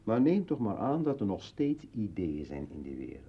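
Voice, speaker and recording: An actor playing a brooding, philosophical old man with bitter irony in a declamatory, halting radio play monologue. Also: on the low side, sing-song, slightly rough, full character voice. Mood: musing, ironic. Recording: dull vintage radio drama recording.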